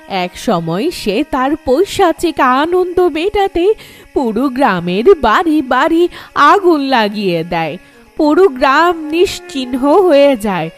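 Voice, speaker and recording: Sad ghost mom in a horror cartoon, drags out her warnings, swingy high voice, music behind. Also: exaggerated voice. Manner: drawn-out, pleading, urgent warnings to child. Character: sorrowful, protective ghost of a village mother. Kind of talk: Bengali horror cartoon voice acting.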